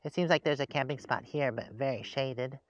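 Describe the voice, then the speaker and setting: A man's voice: mid-range voice, brisk, muffled recording. A man hosting a casual travel vlog, chatting and thinking aloud as he drives, recorded inside a vehicle with road noise. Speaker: host.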